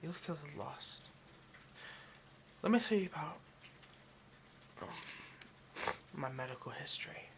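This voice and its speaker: A man playing an obsessive, hungry vampire in an intimate ASMR roleplay, murmuring haltingly and often slipping toward a whisper. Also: fairly high pitch, expressive, gravelly, background noise. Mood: halting, explanatory. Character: obsessive, hungry male vampire, possessive and seductive.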